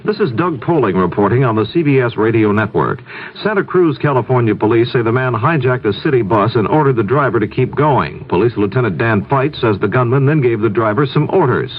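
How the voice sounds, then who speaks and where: A man's voice: mid-range voice, animated, a little fast, slight background noise. Male 1970s radio newsreader, narrow-band sound. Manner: crisp, matter-of-fact delivery. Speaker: radio news anchor. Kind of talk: scripted 1970s network news reading.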